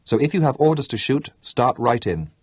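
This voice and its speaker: A man's voice: medium pitch, lively intonation, moderate pace, darkish tone, husky, no room sound, studio quality, voice pushed up. A man voicing a determined, fearless older mother who stubbornly demands to see her son. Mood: defiant. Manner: firm, defiant delivery.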